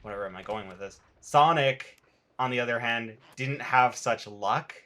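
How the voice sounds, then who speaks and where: A man's voice: medium pitch, a little slow. A man co-hosting a let's play, rambling casually and telling stories over video game cutscenes. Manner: rambling, animated storytelling with acted quotes. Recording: game music behind the voice. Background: video game music.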